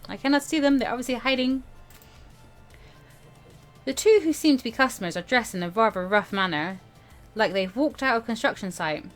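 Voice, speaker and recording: A woman hosting a let's play, reading a visual novel aloud in an expressive, playful, dramatic way. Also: a little high, brisk, pauses often, faint music behind.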